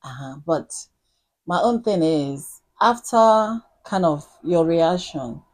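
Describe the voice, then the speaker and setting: A woman's voice: on the low side, expressive, slow. A woman guest in a casual talk, speaking earnestly and acting out her own thoughts as she tells stories. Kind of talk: casual chat.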